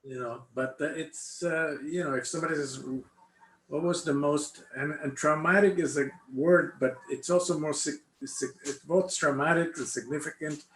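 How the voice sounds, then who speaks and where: An older man from Cuba answers casual interview questions about his life, often pausing to search for his words. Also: a little high, fairly even intonation, fairly bright tone. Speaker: interviewee, congregation member. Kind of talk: casual personal interview, recollecting. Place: indoor room, online video call. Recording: echoey room, faint background noise.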